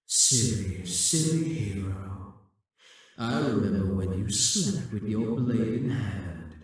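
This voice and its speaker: A man voicing a possessive, scheming male demon king who speaks in a slow, mocking, gloating way. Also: fairly low pitch, expressive, very echoey, far from the mic. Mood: taunting. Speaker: audio roleplay performer. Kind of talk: scripted audio roleplay.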